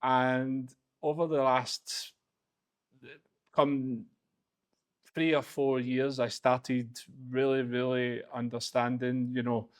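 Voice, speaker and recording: A man's voice: medium pitch, clean tone. A Glaswegian man in his forties, director of a property agency, telling his career story to camera in a casual, loose way. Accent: Glaswegian Scottish. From Glasgow, Scotland. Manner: casual, loose, frequent fillers. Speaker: real estate agency director.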